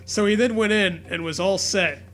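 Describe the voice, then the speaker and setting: A man's voice: high-pitched, normal speed, a bit bright, faint music behind. A man giving casual comedic commentary and mock story readings in a mocking, sweary manner. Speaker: podcast co-host.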